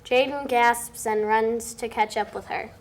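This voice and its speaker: A woman's voice: fairly high pitch, fairly even intonation, a little slow. A young woman reading stage directions as narrator at a script table read. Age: young adult. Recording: faint background noise.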